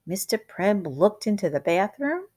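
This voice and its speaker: Female storybook reader, teacherly and expressive, unhurried pace, deliberate dramatic delivery for young children. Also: medium pitch. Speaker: teacher reading a picture book aloud.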